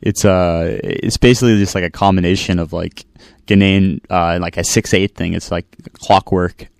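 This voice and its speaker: Deep, smooth laid-back male musician. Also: fairly even intonation, normal speed, darkish tone, no echo, clean studio sound. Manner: laid-back, filler-heavy, lots of "like" and "um". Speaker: guest musician. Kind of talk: casual interview chat. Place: radio studio.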